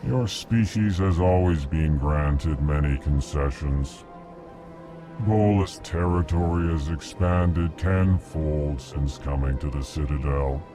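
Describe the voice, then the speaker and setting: A man voicing a stern, patient alien diplomat in a video game, speaking in a ponderous, measured, formal way. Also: very deep voice, over music. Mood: stern reproach.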